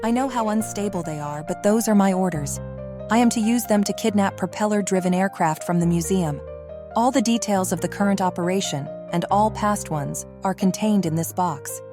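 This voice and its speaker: A woman playing a remorseful, loving mother and secret agent, speaking a measured confession in an audio drama. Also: mid-range voice, over music, lightly acted. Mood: grave, measured.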